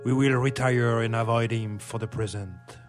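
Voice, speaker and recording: A man playing an earnest, fond young bridegroom in an old radio melodrama, with measured formal diction. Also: mid-range voice, fairly even intonation, music in the background, close to an everyday voice. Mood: concerned, fond. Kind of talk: old melodramatic stage play as radio drama.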